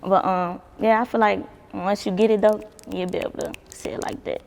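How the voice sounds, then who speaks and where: Gravelly-voiced young woman. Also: mid-range voice, steady tone, brisk, darkish tone, in a large hall. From Baton Rouge, Louisiana. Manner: laid-back, swears freely, laughs often. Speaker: singer, interview guest. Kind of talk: casual interview chat.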